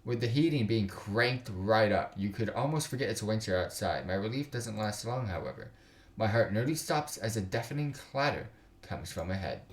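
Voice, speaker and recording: Male game streamer, young adult, lively low voice, casual read-aloud with joking asides, roomy home recording. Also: moderate pace. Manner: stumbles while reading aloud, slangy joking asides. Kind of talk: casual livestream, reading visual novel text aloud with side comments. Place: indoors at a computer.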